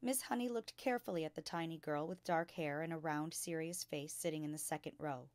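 A woman's voice: low voice, a little fast, a little mellow, no room sound. Woman storyteller with a dry, close sound. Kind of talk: children's book narration, read aloud.

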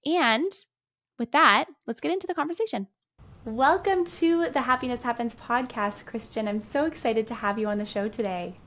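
A woman's voice: on the high side, normal speed, fairly bright tone. A young woman hosting a podcast over a video call, enthusiastic and affirming, chatting casually with lots of "you know". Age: young adult. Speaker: podcast host. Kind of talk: casual interview conversation. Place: indoor room. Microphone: headset on video call.

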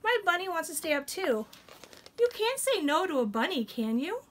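A woman's voice: very high-pitched, very animated, normal speed, a bit bright, voice pushed up, full character voice. A woman voicing a stubborn little pigeon from a picture book, pleading against bedtime in a whiny, wheedling, dramatic way. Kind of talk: animated picture-book read-aloud. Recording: some room noise behind.

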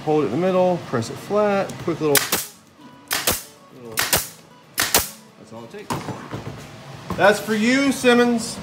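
Tired guy joking around building stuff in his garage, kinda high voice, phone mic, loud hum behind. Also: big pitch swings, unhurried, pauses often. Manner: joking, self-mocking, talks while working. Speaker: vlogger building a squirrel table. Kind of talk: casual how-to woodworking vlog. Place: home garage workshop. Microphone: handheld phone camera.

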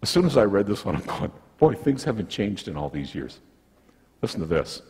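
A man's voice: fairly low pitch, brisk. An older man preaching a sermon as pastor in a church sanctuary, telling stories with folksy asides. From United States. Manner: lively storytelling, folksy asides. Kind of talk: sermon with object lesson. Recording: long church reverb, slightly husky sound.

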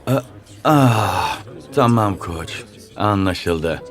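A man's voice: fairly low pitch, expressive, extremely slow, soft background music. A man playing an earnest, eager young footballer hero in a cartoon, speaking expressively. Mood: subdued, unsure. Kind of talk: cartoon dubbing.

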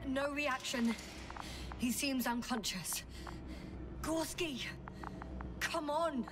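A high-voiced, determined astronaut scientist. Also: female voice, extremely slow, over music. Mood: urgent, worried. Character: determined woman scientist astronaut exploring a hostile planet. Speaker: video game protagonist. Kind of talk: naturalistic game voice acting.

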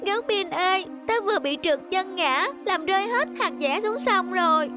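Woman voicing a high-pitched little cartoon squirrel, plaintive. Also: expressive, normal speed, over music. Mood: tearful, worried. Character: small tearful squirrel, anxious and polite. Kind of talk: children's cartoon voice acting.

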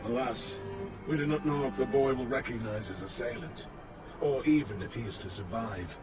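An actor playing a stern old lord commander in a fantasy game, giving grave, formal oratory. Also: male voice, mid-range voice, moderate pace, over music, close to an everyday voice. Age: older. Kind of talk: dramatic fantasy game acting.

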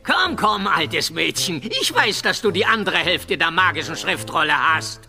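A man's voice: a little high, lively intonation, moderate pace, pushed and bright, soft background music, heavily acted. A man playing a sly, grumpy, greedy evil sorcerer nephew in a children's fantasy audio drama, theatrical and sneering. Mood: suspicious, sly. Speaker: voice actor. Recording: long hall-like reverb.

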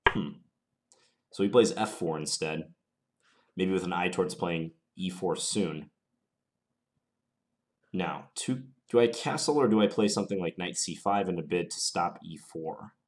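A man with a slight cold, thinking aloud in a calm, measured way as he plays and comments on an online chess game. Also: sits low.

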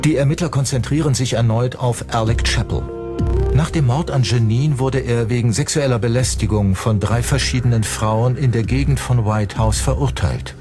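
A man's voice: medium pitch, moderate pace, over music. A man reading scripted true-crime documentary narration as the narrator.

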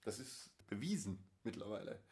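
A man's voice: lowish voice, animated, moderate pace, darkish tone. A man co-hosting a casual chat podcast, rambling with dry jokes, many fillers and restarts. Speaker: podcast co-host.

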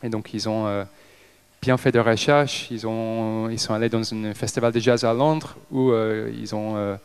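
Halting Englishman with a smooth voice. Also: on the low side, steady tone. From Liverpool, England. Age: 30s. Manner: halting, frequent hesitations and restarts. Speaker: company director giving a talk. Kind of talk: stage talk to an audience. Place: stage in an event hall with a seated audience. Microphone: handheld microphone.

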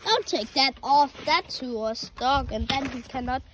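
A woman's voice: high voice, expressive, moderate pace, background noise. A woman chatting playfully in a casual vlog, rambling and stumbling over her words. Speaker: vlogger.

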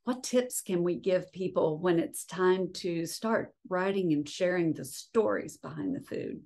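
A woman hosting an interview as a cookbook publisher, asking measured questions over a video call from her living room. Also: lowish voice, creaky, a little mellow. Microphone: laptop webcam on video call.

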